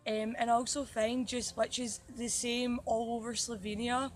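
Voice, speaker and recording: A woman's voice: fairly high pitch, level tone, moderate pace. A woman in her twenties answering interview questions fluently and with enthusiasm. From Scotland. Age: 20s. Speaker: youth exchange participant. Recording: music playing behind.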